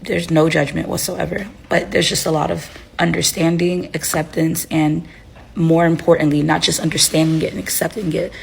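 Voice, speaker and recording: A woman's voice: sits low, level tone, moderate pace, gravelly, a little hiss or hum. A young woman from Haiti, a life coach, giving casual reflective advice while unwell with a headache and tired, with many fillers and restarts. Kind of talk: casual talking-to-camera advice.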